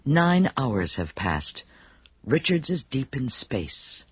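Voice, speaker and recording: An actor playing the dramatic narrator of a space adventure radio serial, with scripted suspense. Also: male voice, medium pitch, sing-song, slow pace. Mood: suspenseful. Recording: dull band-limited audio with music behind.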